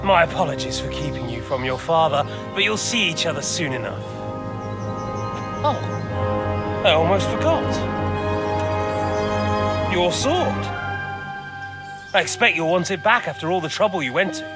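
An actor playing a smug, defiant lord holding hostages in a video game, with a taunting, coolly sardonic manner. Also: male voice, on the high side, expressive, normal speed, pauses often, natural voice. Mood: mocking, controlled. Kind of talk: video game cutscene acting. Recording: loud music underneath.

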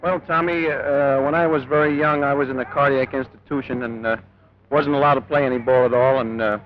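A man's voice: medium pitch, steady tone, fairly quick. A man, a bat boy answering questions in an informal interview. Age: 20s. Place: outdoors at an empty ballpark dugout. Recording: dull 1950s newsreel sound, faint noise.

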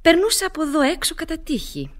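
An elegant, mysterious lady of the radio theatre. She is coy and teasing, and her theatrical delivery swings widely in pitch as she flirts. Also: huge pitch swings, heavily acted. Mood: coy, flirtatious. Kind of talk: Greek radio theatre acting.

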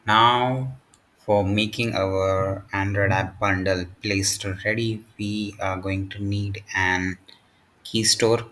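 A man narrating a step-by-step software tutorial in short, halting phrases. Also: lowish voice, steady tone, low-quality recording. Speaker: tutorial presenter.

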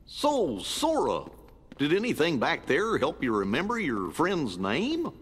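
Goofy good-natured American dog character, very swoopy drawl, game cartoon, reverberant room. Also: male voice, on the high side, huge pitch swings, a little slow, breathy edge, exaggerated voice. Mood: puzzled, friendly.

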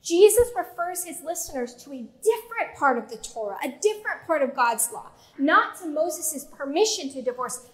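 A woman in her thirties with a high, light voice preaches from the pulpit of a church. She speaks in a measured way, slowing down and pausing to stress key words. Her voice is picked up by a pulpit mic. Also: a bit bright, rough recording. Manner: measured preaching with slow emphatic pauses and gestures. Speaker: pastor. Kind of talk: sermon. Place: church sanctuary with pipe organ.

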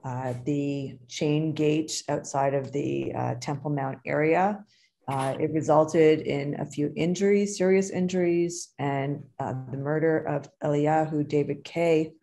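A woman with a low voice opens an online event with solemn remarks. She speaks earnestly and pauses on hesitant fillers. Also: fairly even intonation, creaky, mellow. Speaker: event organizer. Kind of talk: formal opening remarks.

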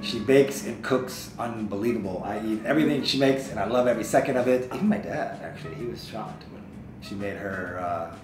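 A man in his thirties, co-host of a couple's vlog, chatting in a jokey, animated way and laughing often. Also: on the low side, a little fast, reverberant, soft background music. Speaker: co-host, real estate agent. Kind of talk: casual chat. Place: office with desk and orchids, indoors.

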